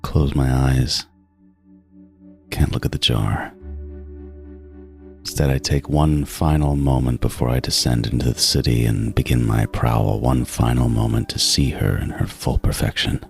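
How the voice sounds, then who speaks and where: A man acts a meticulous serial killer narrating his own horror tale. The voice is extremely low, measured and brooding, lingering fondly over every word, with music underneath. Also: creaky. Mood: brooding, intent. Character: meticulous adult male serial killer obsessed with teeth, coldly fond. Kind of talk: first-person horror audio drama narration. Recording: music behind the voice.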